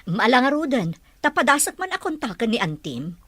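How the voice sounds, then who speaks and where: A woman's voice: on the high side, sing-song, fairly quick, a bit bright, dry recording. A woman playing a mother talking with her grown child in an Ilocano audio drama. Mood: teasing, fond.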